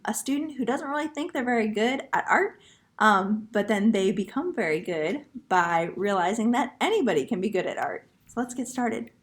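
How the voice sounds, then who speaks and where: A woman, an elementary art teacher, speaking encouragingly to young children in a storybook read-aloud style. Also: medium pitch.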